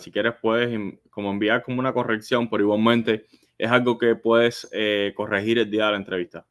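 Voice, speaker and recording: Male lawyer guest, fairly low voice, plain-spoken explanatory advice, step by step. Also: a bit bright. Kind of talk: live legal advice, explanatory.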